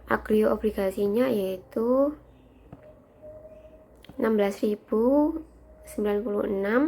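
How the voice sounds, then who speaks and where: Young female student reading a presentation, mid-pitched with noticeable creak, some background noise. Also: a little slow, dark tone. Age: young adult. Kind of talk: reading out worked accounting solutions.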